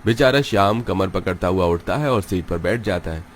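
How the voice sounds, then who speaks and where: A man's voice: sits low, expressive, normal speed, slight background noise. A man reading scripted story narration for a cartoon.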